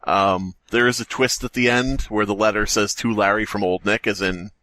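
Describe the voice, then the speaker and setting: A man's voice: lowish voice, steady tone, moderate pace, sharp tone, dry recording. A man co-hosting a podcast, talking casually about a horror story in a jokey, rambling way as he thinks aloud. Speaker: podcast co-host.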